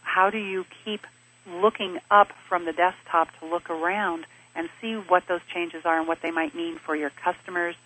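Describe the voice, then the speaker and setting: A woman with a bright, slightly husky voice speaks in a measured, explanatory manner as an interview guest. She grew up in Switzerland and lays out her ideas in steady lists of points. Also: lowish voice, poor audio. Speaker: interview guest, business advisor. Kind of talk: thoughtful conversational interview answers.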